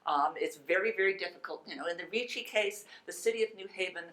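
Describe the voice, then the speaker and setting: A woman speaking as a panelist in a measured, halting way as she explains a point. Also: on the low side, fairly bright tone, low-quality recording. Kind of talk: explanatory remarks at a panel discussion.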